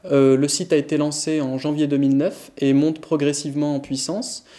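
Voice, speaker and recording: A man's voice: a little high, level tone, moderate pace, mellow. A man who grew up in France, answering interview questions as a business manager, hesitant with frequent fillers.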